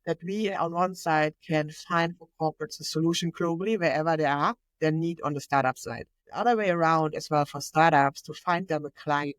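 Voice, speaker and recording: A woman's voice: deep voice, fairly quick, hardly any pauses, dry recording. A woman with a German accent speaking non-native English, guest on an interview podcast, talking eagerly and fluently with small slips. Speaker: podcast guest, innovation manager. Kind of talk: conversational interview.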